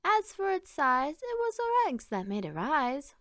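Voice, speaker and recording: A woman's voice: high voice, very animated, a little slow, a bit bright, no room sound, clean studio sound, pitched above the performer's own voice, heavily acted. A woman voicing proud, eager young children from a storybook in a chirpy manner.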